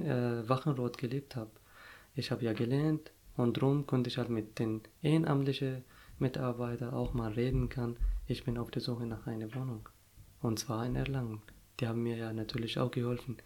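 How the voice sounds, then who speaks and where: A young adult man, an Arabic native speaker, talks through his experiences as an interviewee. He speaks haltingly with many fillers, stopping to find the right words. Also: medium pitch, mellow. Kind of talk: casual personal story.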